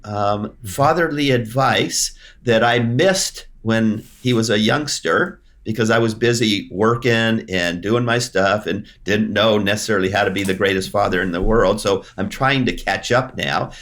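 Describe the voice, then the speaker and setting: A man from Hungary with a Hungarian accent, hosting a podcast and chatting casually with halting restarts. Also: fairly low pitch, a bit bright. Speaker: podcast host. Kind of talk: casual interview chat.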